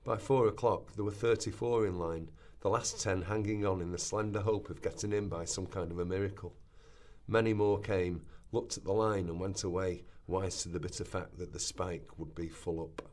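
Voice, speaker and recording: Male reader, lower register, delivering quoted historical prose for a radio documentary drama. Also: steady tone, normal speed, darkish tone. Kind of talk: scripted dramatic reading of literary passages.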